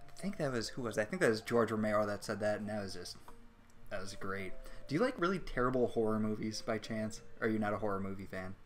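A man's voice: fairly low pitch, lively intonation, quick pace, soft background music. A young man hosting a casual stream interview, rambling and joking as he reads questions from chat.

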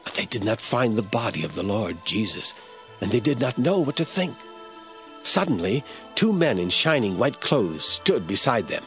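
A man's voice: on the low side, big pitch swings, normal speed, fairly bright tone, faint music behind. A man narrating scripture as a scripted audio drama storyteller.

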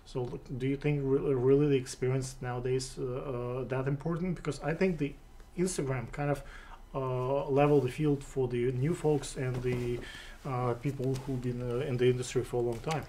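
A middle-aged man with a Russian accent hosting a studio interview, asking questions haltingly with many fillers and restarts. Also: mid-range voice, steady tone, dark tone, slight background noise. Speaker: interview host. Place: studio with city backdrop, seated on sofa.

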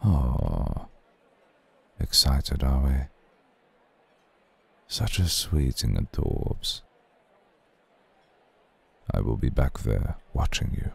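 Man voicing an extremely deep, gravelly old vampire lord. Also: sing-song, full character voice. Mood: soothing, seductive. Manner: formal, unhurried, seductive. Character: suave, centuries-old male vampire lord, courteous, cool, possessive. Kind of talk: ASMR roleplay.

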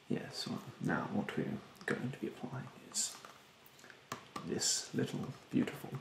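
Young man, breathy ASMR hush, condescending and politely snide, heavy vocal fry, roomy recording. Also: medium pitch, wildly swooping pitch, background noise. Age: young adult. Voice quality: breathy, hushed. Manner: condescending, politely snide, hesitant drawn-out phrasing. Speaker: ASMR performer playing a rude facial therapist. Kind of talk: ASMR roleplay, soft-spoken with whispering. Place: bedroom, indoors.

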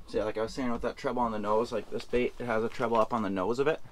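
A man's voice: medium pitch, rapid-fire. A young man talks easily about his fishing gear in a mid-range voice, loose and conversational. He sits in an ice-fishing shelter and talks to the camera mic, with a faint hiss in the background. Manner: relaxed explaining, excited and out of breath after running. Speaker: fishing vlogger. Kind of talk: casual how-to talk and banter. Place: inside an ice fishing shelter on a frozen lake.

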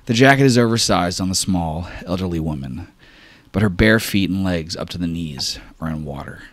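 Deep-voiced male game master. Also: no echo. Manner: descriptive, casual with fillers. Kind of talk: narrating a tabletop role-play.

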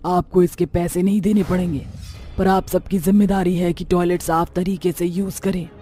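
A woman's voice: on the low side, little pitch movement, normal speed, dark tone, natural voice. A woman voicing a vengeful but righteous witch ghost in a horror cartoon, with a stern, dramatic delivery. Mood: proclaiming. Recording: music behind the voice.

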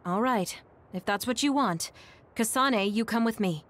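An actress playing a poised, mentoring squad leader in an anime game, polished and gently authoritative. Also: female voice, fairly high pitch, unhurried. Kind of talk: anime video game dub acting.

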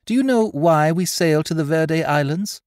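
A man's voice: a little high, animated, moderate pace, a little mellow, dry recording, clean studio sound, voice pushed up, close to an everyday voice. A man voicing a cool, blunt, commanding young woman in a seafaring storybook. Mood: demanding. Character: commanding, level-headed young woman aboard a mutinous ship. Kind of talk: audiobook character voice, period seafaring speech.

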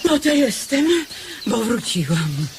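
An actress playing a stubborn, suspicious customer who haggles in a pert, folksy way in a radio comedy drama. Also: female voice, on the high side, very animated, slow pace, background noise, full character voice. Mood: evasive, pert.

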